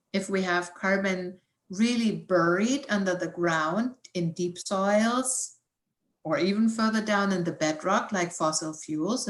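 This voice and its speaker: Echoey-sounding woman with a German accent. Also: fairly low pitch. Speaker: guest environmental scientist and researcher. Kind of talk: informal explanatory science talk, answering questions.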